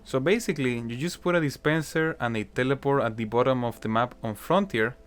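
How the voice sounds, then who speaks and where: A man's voice: mid-range voice, a little fast, smooth. Casual chatty man, improvised commentary. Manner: casual, chatty, frequent "you know" fillers. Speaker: gaming YouTuber. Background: faint guitar music.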